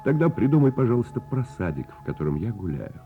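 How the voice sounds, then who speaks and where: A man's voice: mid-range voice, sing-song, fast, narrow, tinny audio, soft background music, voice pushed up. A man playing a small, wistful child eagerly pleading for a bedtime story in a radio play.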